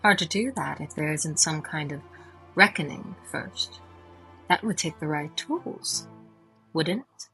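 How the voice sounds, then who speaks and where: A woman's voice: low-pitched, unhurried, pushed and bright, soft background music, full character voice, low-quality recording. A woman playing a sly, provoking radical disguised as an elderly owl-folk woman in a fantasy audio drama, speaking in a knowing, insinuating way. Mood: sly, menacing.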